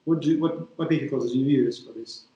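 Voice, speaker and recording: A man's voice: mid-range voice, fairly quick, mellow, heavy reverb, dull audio. A man from Russia with a Russian accent, hosting an interview over a call, hesitant and repeating words as he searches for phrasing. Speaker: podcast host.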